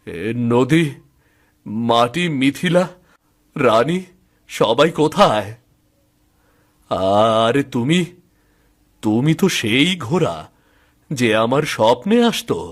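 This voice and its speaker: পুরুষের অভিনয়ে আন্তরিক, নাটকীয় এক সৎ কৃষক. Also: male voice, mid-range voice, expressive, slow pace, long pauses. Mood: bewildered. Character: wronged honest peasant farmer, back from death, vengeful. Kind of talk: Bengali cartoon story acting.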